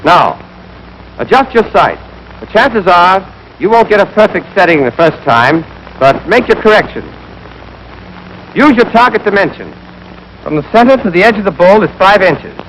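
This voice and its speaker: A man's voice: high-pitched, very animated, normal speed, pauses often, a bit bright. A young American man giving scripted, clipped, step-by-step marksmanship instruction as an army instructor in a 1940s training film. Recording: noisy 1940s film soundtrack.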